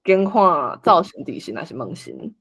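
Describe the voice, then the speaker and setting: A host with a Taiwanese accent casually organizing people in an online meeting, coaxing and animated. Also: medium pitch, moderate pace, gravelly, slightly rough. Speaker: host assigning roles for a script read-along.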